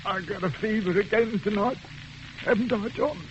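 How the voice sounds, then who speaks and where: An actor playing a rough-spoken, ailing male convict in old radio drama style, with a dull recording and faint hiss. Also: high voice, moderate pace, lightly acted. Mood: uneasy. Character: coarse, frightened male convict, sick and coughing. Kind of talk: 1940s radio drama acting.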